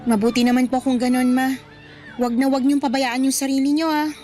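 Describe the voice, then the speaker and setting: High-voiced, earnest young daughter played by an actress. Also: female voice, level tone, a little fast, darkish tone, soft background music, close to an everyday voice. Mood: caring, earnest. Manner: earnest, emotional. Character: devoted, outspoken student daughter, protective of her mother. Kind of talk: Filipino radio drama acting.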